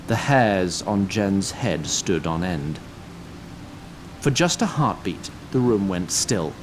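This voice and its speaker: A man's voice: lowish voice, rough recording. Male fantasy story narrator over background noise. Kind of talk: scripted dramatic story narration.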